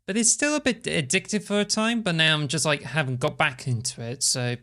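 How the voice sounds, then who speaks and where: A woman co-hosts a casual podcast in a bright, forceful voice. She rambles, leaning on "like" as she goes, and she is nursing a strained back. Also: low-pitched, fairly quick. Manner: rambling, with many "like" fillers. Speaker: podcast co-host. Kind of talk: casual chat. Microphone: podcast microphone.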